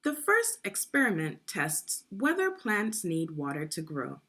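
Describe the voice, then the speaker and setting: Slow, lively woman reading to children. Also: fairly low pitch. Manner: clearly enunciated, instructive, addressing young learners. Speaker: read-along teacher and narrator. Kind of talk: guided children's read-aloud with questions to the listener.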